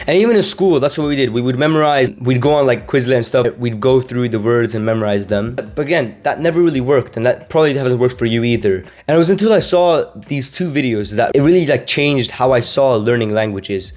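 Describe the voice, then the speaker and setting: A man's voice: mid-range voice, a little mellow. A young man from Sweden, a language-learning vlogger, talking casually to camera, rambling with many fillers and restarts.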